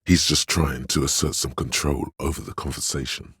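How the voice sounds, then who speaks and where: An actor playing a stern, domineering inner voice of authority in a video game, with a pompous, imperious, measured delivery of dramatic narration. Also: male voice, a bass voice, steady tone, a bit bright, breathy edge, no room sound, clean studio sound, full character voice.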